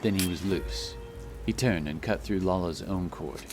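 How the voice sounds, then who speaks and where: Male narrator, low register, dramatic scripted fantasy storytelling over prominent music. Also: moderate pace. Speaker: audiobook narrator. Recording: loud music behind the voice.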